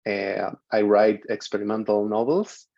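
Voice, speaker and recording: A man's voice: lowish voice, little pitch movement, a little slow, mellow. Enthusiastic man, dull audio. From Chile. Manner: enthusiastic, searching for words. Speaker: seminar participant, writer.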